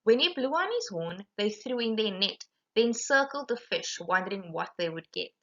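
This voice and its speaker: A woman reading a rhyming children's storybook aloud in an animated, emphatic way. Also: mid-range voice, moderate pace, lo-fi sound. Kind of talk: expressive rhyming storybook narration.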